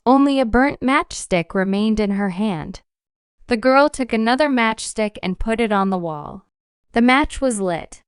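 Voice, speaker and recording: A woman reads a children's storybook in a lively, varied tone. Her pace is unhurried and the sound is close and dry. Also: on the high side, clear, resonant tone, no room sound. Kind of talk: scripted storybook narration.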